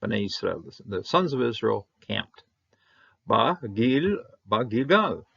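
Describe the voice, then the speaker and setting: A man teaching a Bible Hebrew lesson, talking haltingly with many fillers and restarts, his voice jumping up on each Hebrew word. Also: on the low side, big pitch swings, creaky, fairly bright tone, slightly rough, muffled recording. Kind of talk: unscripted verse-by-verse Hebrew lesson.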